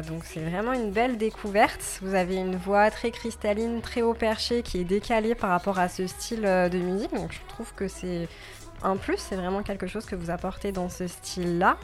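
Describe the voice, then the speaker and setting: Woman, radio co-host, measured, complimentary, faint music. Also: mid-range voice. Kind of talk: radio interview.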